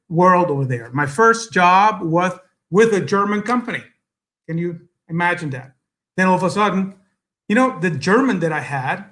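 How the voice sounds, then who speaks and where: A middle-aged Salvadoran man with a fairly high voice gives an earnest speech at an event. He speaks English with a Spanish accent and stops often on fillers as he gathers his thoughts. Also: a bit bright. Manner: earnest, halting, many fillers, switches to Spanish. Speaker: guest speaker.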